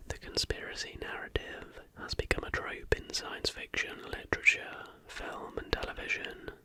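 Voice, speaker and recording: An ASMR host whispering throughout in a slow, unhurried way while presenting to listeners. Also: bright, forceful tone, dry recording. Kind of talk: ASMR, softly whispered reading of facts with casual asides.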